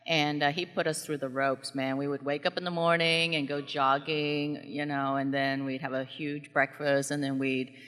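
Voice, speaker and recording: A middle-aged woman with a General American accent talks as a guest at a live event, animated and quick with asides. Her voice sits low yet sounds bright and forceful. A long reverb from the hall trails each phrase. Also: clean tone. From came to the US as a child. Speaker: guest actress on stage. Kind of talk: live stage interview.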